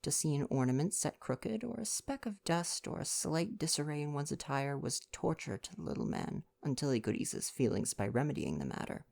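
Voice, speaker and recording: Gentle young man with creaky voice. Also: on the high side, sing-song, a little fast, few pauses. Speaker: audiobook-style reader and ASMR performer. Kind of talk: soft bedtime story reading, ASMR.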